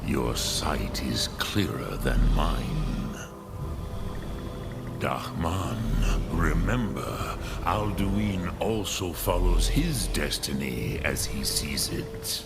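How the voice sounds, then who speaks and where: A man voicing an ancient, wise male dragon in a fantasy video game, speaking patiently and deliberately with a weighty, gravelly voice and philosophical manner. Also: a bass voice, lively intonation, over loud music, exaggerated voice. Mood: pensive, probing. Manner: slow, deliberate, ponderous, pausing between phrases.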